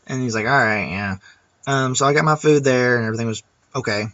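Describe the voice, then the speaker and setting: A man's voice: mid-range voice, fast talker, a bit bright, dry recording, muffled recording. A man vlogger ranting casually to camera, chatty and rambling with restarts.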